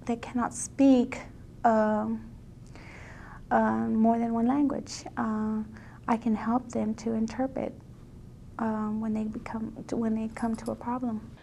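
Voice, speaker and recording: A woman student giving an interview testimonial, speaking haltingly as she searches for words. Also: creaky, mellow. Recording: background noise with low rumble.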